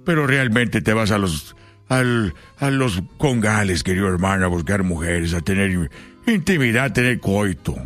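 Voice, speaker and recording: Swooping, preachy old Mexican brother. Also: male voice, lowish voice, lively intonation, moderate pace, fairly bright tone. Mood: preachy, concerned. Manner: sermonizing, repetitive, swooping up and down. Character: parody of old Mexican ranchera singer, preachy elder brother. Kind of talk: comedic impression in a sketch. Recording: music playing behind the voice.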